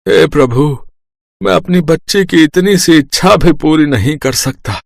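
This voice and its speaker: A man's voice: on the high side, expressive, measured pace, creaky, no echo. A man voicing a poor, meek and devout village toy seller and father in a cartoon story. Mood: earnest. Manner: meek, apologetic.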